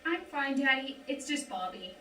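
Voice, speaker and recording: A woman acts out an exasperated young ex-girlfriend, blunt and impatient. Her voice is high and swings widely, heard from off the mic in a roomy space. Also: expressive, moderate pace, bright, forceful tone. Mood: annoyed. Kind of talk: script table read acting. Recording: roomy, distant mic, clearly noisy.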